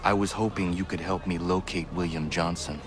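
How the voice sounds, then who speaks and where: A stoic young hunter-assassin from a video game, acted by a man. His voice is low and his delivery measured, formal and sincere. Music runs clearly underneath. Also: fairly even intonation, lightly acted. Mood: terse, earnest. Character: stoic, earnest young half-Mohawk frontier assassin.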